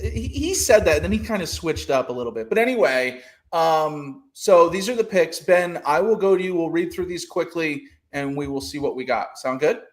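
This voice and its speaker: A man hosts a relaxed podcast about trading over a video call. His voice sits a little high for a man, and he rambles along with frequent fillers and restarts. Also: normal speed. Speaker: podcast host. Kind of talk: casual trading podcast talk.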